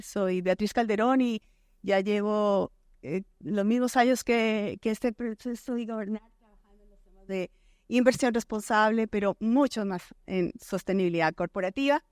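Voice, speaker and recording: A middle-aged woman from Colombia with a Colombian accent softened by decades in Chile, moderating a conference panel on stage with frequent pauses and restarts. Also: medium pitch, smooth, no echo, studio quality. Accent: Colombian, softened by years in Chile. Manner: hesitant, many fillers and restarts.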